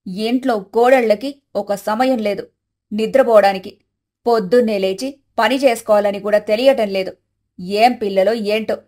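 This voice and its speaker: A woman's voice: medium pitch, moderate pace, a little mellow, lightly acted. A woman voicing a fretful older mother-in-law in a cartoon family story, complaining wearily. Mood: scolding.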